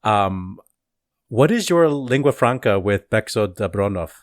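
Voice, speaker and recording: A man's voice: on the low side, lively intonation, unhurried, no room sound, studio quality. A man hosting an interview, asking long, rambling, enthusiastic questions in a casual chat. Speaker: podcast host.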